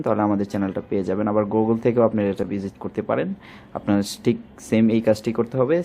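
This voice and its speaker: Fast-talking Bangladeshi salesman, low voice. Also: dark tone, slight background noise. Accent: Bangladeshi Bengali. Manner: rapid, repetitive sales talk, reels off phone numbers. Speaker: shop salesman. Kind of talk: product demonstration pitch.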